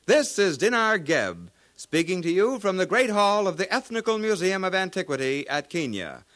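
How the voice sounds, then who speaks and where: A ceremonious announcer from the far future in a fifties radio play. His voice is high-pitched and very animated, with formal, grand sign-off phrasing. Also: moderate pace. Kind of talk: 1950s radio drama acting.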